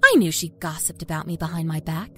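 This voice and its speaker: A woman narrator giving an expressive, dramatic first-person reading of a scripted horror story. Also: low-pitched, quick pace, faint music behind. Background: musical score.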